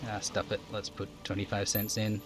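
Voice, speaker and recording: Low-voiced man narrating a game stream. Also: completely flat delivery, moderate pace, music in the background. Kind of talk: live game narration and casual stream chat.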